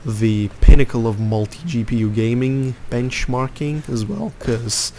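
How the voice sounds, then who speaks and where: A man's voice: medium pitch, fairly even intonation, a little slow, dark tone, a little hiss or hum. A young man from Lithuania giving rambling, opinionated commentary over his gameplay, with lots of fillers. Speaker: gaming youtuber.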